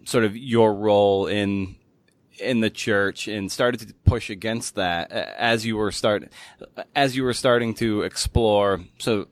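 A man hosting a podcast interview, asking questions conversationally in halting, circling phrases with repeated words. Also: lowish voice, no echo.

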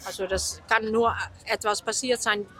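Dutch woman judge, halting german, searching for words, noisy outdoor recording. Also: on the high side, fairly even intonation. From Netherlands. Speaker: equipment-check judge. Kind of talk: interview answers. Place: outdoors at a horse show.

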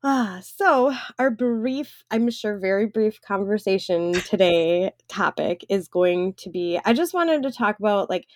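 A woman's voice: mid-range voice, animated, unhurried, hardly any pauses, no room sound. A woman with a Wisconsin accent and a stuffed-up nose, co-hosting a casual podcast chat and rambling with lots of "like.". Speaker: podcast co-host.

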